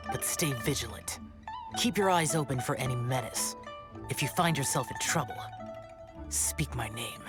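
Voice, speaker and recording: Guy voicing a broody young immortal warrior for a game, talks slow n formal, kinda disdainful, music playing behind. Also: medium pitch, lightly acted. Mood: solemn. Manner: solemn, terse, formal and disdainful. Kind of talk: video game voice acting.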